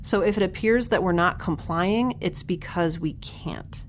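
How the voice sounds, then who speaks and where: Wry lowish-voiced young spacefarer, played by a woman. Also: measured pace, natural voice. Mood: wry, bluffing. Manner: casual, sarcastic, hesitant, bluffing. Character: wry, flustered young woman alone aboard research spaceship. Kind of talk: naturalistic sci-fi audio drama acting. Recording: faint ship ambience noise.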